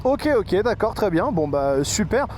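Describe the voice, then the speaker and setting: A man's voice: high voice, very animated, unhurried, darkish tone. A man reviewing motorcycle intercoms while riding, talking casually with many hesitant fillers into a helmet microphone. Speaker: motorcycle vlogger reviewing gear. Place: outdoors on a motorcycle in traffic. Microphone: helmet-mounted microphone. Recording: noisy outdoor road recording.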